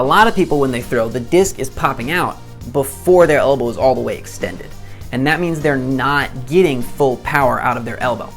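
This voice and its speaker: A young man in his twenties with a hoarse voice after a day of shouting explains technique to camera in a chatty, self-deprecating way. He often stumbles and restarts, his pitch sitting mid-range, and faint music plays behind him. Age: 20s. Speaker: disc golf instructor. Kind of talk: casual tutorial to camera.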